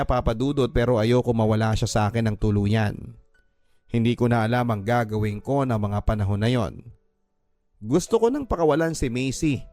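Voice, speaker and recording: A man's voice: mid-range voice, a little mellow. Male radio drama narrator, mid-range voice, sentimental first-person storytelling over a music bed.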